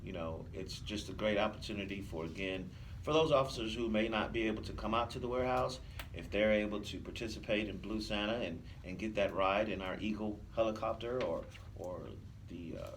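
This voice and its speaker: An American man speaking as a police officer guest in a radio interview, explaining things in a measured, conversational way. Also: low voice, recorded from a distance. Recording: faint noise, off-mic room sound.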